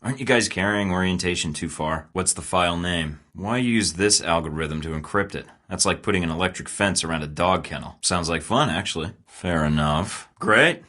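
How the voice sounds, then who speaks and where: A wry, low-voiced young spy. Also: male voice, moderate pace, few pauses, pushed and bright. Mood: cocky. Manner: wry, sarcastic, self-assured. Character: cocky young male spy recruit. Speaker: game character. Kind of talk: video game voice lines.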